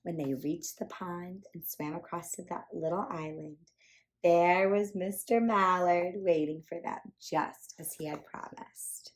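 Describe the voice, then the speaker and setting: Expressive woman reading a picture book aloud. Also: fairly low pitch, measured pace, rough recording. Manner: expressive, emphatic delivery with playful emphasis. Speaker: children's storytime reader and host.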